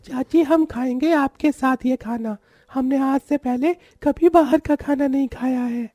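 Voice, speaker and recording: Very high little kid voiced by a woman. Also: measured pace, dark tone, no room sound, clean studio sound. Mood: eager. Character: eager little child in a family story. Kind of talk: acted moral story dialogue.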